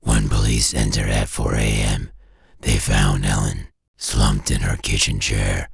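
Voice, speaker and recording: Extremely deep, gravelly man narrating horror. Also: flat delivery, no echo. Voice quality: gravelly, husky. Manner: ominous, deliberate, short clipped phrases. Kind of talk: scripted analog horror narration, second-person.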